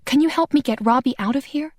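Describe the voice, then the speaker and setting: A woman's voice: high voice, fast talker, darkish tone, dry recording, studio quality, pitched above the performer's own voice, lightly acted. A woman doing a brave, reassuring heroine. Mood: urgent. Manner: urgent, reassuring.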